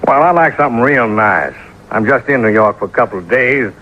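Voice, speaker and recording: A man's voice: medium pitch, animated, brisk, close to an everyday voice. A male radio actor playing a rich, naive Texan oilman with a folksy drawl and rustic grammar. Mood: folksy, eager. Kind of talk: old-time radio acting. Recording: muffled, noisy old radio recording.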